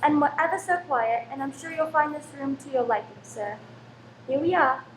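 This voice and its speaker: A woman's voice: sits high, moderate pace, natural voice. An actress in a radio play playing a deferential married landlady who is polite, eager and anxious to please. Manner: servile, polite, flustered when rebuked. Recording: roomy echo with faint background noise.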